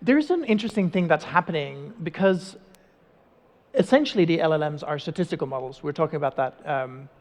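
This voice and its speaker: A man's voice: fairly high pitch, animated, brisk. A man in his thirties, a native Dutch speaker, moderating a conference panel with curious, conversational questions. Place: large conference stage. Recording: reverberant hall.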